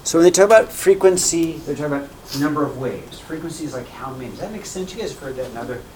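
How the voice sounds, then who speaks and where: A man teaching a math class, lively and repetitive, often checking in with "okay?" and "right?". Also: a little high, fast, a little hiss or hum. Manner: energetic, repeats key points, asks "okay?" and "right?" often. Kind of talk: classroom lecture.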